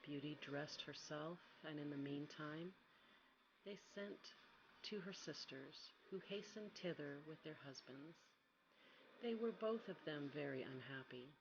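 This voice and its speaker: A woman softly reading a fairy tale as a quiet ASMR bedtime storyteller. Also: sits low, animated, a little slow, muffled recording, noisy background. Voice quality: soft, quiet.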